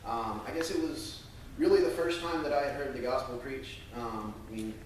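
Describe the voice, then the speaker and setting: An American man speaking earnestly and a little haltingly to a congregation, as a church member sharing testimony and scripture. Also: medium pitch. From United States. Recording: very echoey room, distant mic, faint noise.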